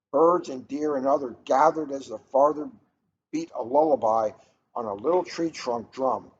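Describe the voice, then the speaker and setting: Middle aged guy reading a kids story out loud, kinda dull recording, bit of noise behind. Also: mid-range voice. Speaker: police officer reading a children's book aloud. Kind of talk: storybook read-aloud with casual chat. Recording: muffled, noisy ambient room recording.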